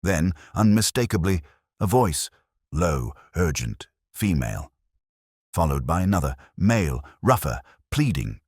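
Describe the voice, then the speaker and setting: A man reading a first-person detective story as narrator, in a measured, brooding, reflective way, close to a studio mic. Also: extremely low pitch, slightly rough, no echo.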